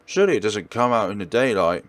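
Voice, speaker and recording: A man's voice: on the low side, very animated, fast, no echo. A man hosting a let's play, reading a visual novel aloud in a theatrical way and sometimes stumbling and rereading words. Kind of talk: dramatic read-aloud narration of a visual novel.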